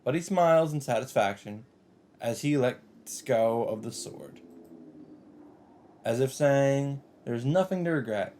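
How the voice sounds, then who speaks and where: A man hosting a let's play, reading visual novel narration aloud in a measured, dramatic way with asides. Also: medium pitch, lively intonation, darkish tone. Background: guitar game music.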